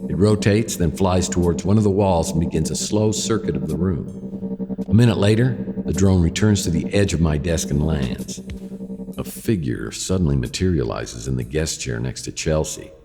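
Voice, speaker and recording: With music underneath and a long reverb, a hardboiled male private eye with a very deep voice. He narrates his case in a deadpan, sardonic way, worn down but wry, in classic radio noir style. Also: moderate pace. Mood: wry, puzzled.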